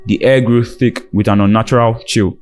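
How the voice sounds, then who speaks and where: A man's voice: lowish voice, steady tone, moderate pace, a little mellow. A man narrating a scripted dramatic folktale as a storyteller. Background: background music.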